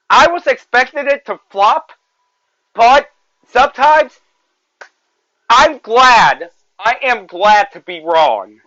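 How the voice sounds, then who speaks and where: Rambling young man, high bright voice. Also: no room sound, highs cut off. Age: 20s. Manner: rambling, repeats himself, many fillers. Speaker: podcast host. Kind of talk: solo movie review podcast.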